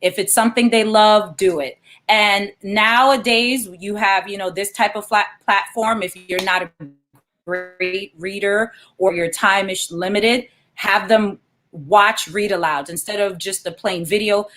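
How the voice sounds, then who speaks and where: A woman's voice: medium pitch, steady tone, a little slow, fairly bright tone. A woman, a children's author, reading aloud and explaining things as an interview guest.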